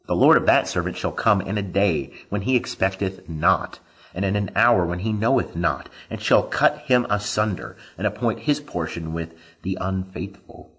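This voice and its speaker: A man reading scripture aloud as solemn scripted narration, in a measured, declamatory way with emphatic phrasing. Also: fairly low pitch, breathy edge, low-quality recording. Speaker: Bible reader.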